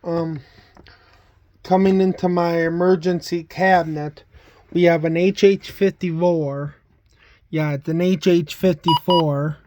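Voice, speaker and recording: A man casually showing items from a collection in a plain, halting, item-by-item way. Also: on the high side, steady tone, darkish tone, a little hiss or hum. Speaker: collector showing weather radios. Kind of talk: casual show-and-tell vlog.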